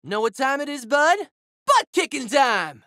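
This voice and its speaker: A man's voice: very high-pitched, very animated, unhurried, bright, forceful tone, no echo, clean studio sound, exaggerated voice. A male actor voicing a spirited, goofy teenage boy hero in a video game, with brash, big-hearted, slangy delivery. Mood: pumped up. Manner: exuberant, slangy, emphatic delivery. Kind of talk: video game character battle lines.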